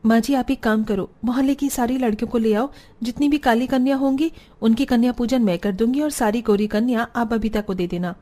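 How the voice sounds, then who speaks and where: A woman voicing a patient, reasonable woman of a family in a cartoon story, measured and gently reproving. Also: on the high side, natural voice. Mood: weary, patient. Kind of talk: Hindi cartoon story dialogue.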